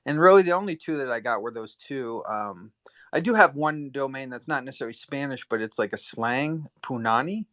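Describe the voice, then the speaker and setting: A man with a bright, forceful voice speaking casually and hesitantly, restarting his sentences. The sound is dry and close. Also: medium pitch, lively intonation, a little fast, no room sound. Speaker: guest speaker. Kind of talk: casual chat.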